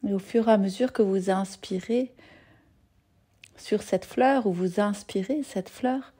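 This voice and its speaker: A woman yoga nidra teacher leading a guided meditation in a gentle, soothing, soft-spoken manner with long pauses. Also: medium pitch, slightly rough.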